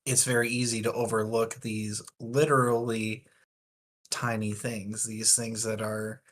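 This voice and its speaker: A man's voice: on the low side, flat delivery, measured pace, fairly bright tone, a little hiss or hum. A man talking through ideas in a thoughtful, discursive way in a casual book discussion.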